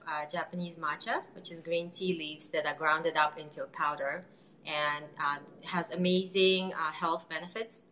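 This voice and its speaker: Bright, chatty woman entrepreneur. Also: lowish voice, a little hiss or hum, low-quality recording. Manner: chatty, many fillers and restarts. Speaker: guest entrepreneur. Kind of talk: casual interview chat.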